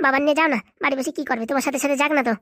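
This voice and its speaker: A woman's voice: high voice, rapid-fire, sharp tone, dry recording, studio quality. A woman voicing a bossy young wife in a comedy cartoon, scolding and pleading like a devoted daughter. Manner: scolding, nagging.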